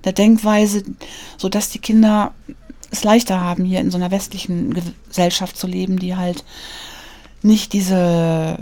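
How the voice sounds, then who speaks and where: A woman speaks in a mellow, warm voice, earnest and reflective. She pauses often and searches for her words. She sits at a studio mic in an interview. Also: fairly low pitch, level tone. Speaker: author interviewed about portraits of immigrant women. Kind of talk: thoughtful interview answers.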